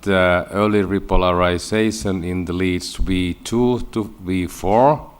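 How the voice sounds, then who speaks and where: A man from Finland presents research at a medical conference in a low-pitched voice. He has a Finnish accent and speaks haltingly, often restarting and repeating words as he explains. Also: fairly even intonation, clear, resonant tone. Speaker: medical researcher giving a lecture.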